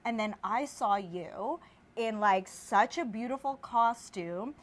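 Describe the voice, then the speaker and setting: A woman from the Philippines hosting an interview, enthusiastic and animated as she tells a story. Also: mid-range voice, takes it slowly. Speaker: host. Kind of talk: casual interview.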